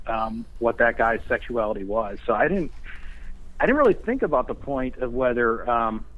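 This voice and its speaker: Halting man with a mid-pitched voice. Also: expressive, narrow, tinny audio. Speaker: guest screenwriter. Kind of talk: casual interview chat.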